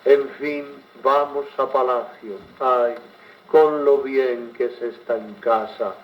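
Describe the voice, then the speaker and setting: A man's voice: medium pitch, steady tone, slow, natural voice, low-quality recording. A man voicing a spirited, wistful Spanish princess in a dramatic verse recital, with an accent from Spain, on an old gramophone record. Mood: haughty.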